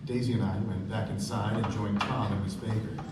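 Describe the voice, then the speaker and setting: A man's voice: lowish voice, fairly even intonation, normal speed, recorded from a distance, close to an everyday voice. A man playing a thoughtful, reserved young narrator in a live radio play on a theatre stage. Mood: measured narration. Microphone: far camera. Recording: roomy stage recording from afar, noisy.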